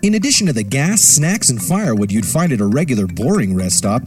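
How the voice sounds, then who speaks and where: A man's voice: medium pitch, sing-song, brisk, soft background music. A man reading an upbeat radio ad in an enthusiastic, salesy way. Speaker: ad announcer.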